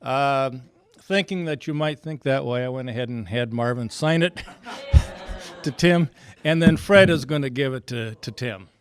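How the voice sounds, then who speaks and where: Halting, clear-voiced man chairing a meeting. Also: medium pitch. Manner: halting, folksy, informal. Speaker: club president chairing a meeting. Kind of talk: informal spoken announcements to club members. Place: club meeting room.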